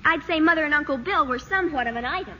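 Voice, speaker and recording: Chirpy, nosy young daughter, very high and piercing, in muffled vintage radio comedy. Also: female voice, expressive, racing, bright, forceful tone. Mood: eager, nosy. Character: nosy, knowing young daughter, bright and eager. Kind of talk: 1940s radio comedy acting.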